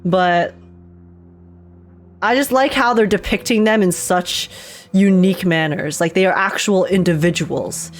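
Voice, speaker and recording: A woman streamer giving casual, chatty live commentary on a game, thinking aloud and quick to laugh. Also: fairly low pitch. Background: faint game music.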